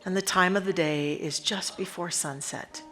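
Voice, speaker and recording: A woman leading a guided meditation in a reverent, unhurried manner, pausing deliberately between short phrases. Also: fairly low pitch, fairly even intonation, a bit bright. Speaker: spiritual leader guiding a meditation. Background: instrumental music.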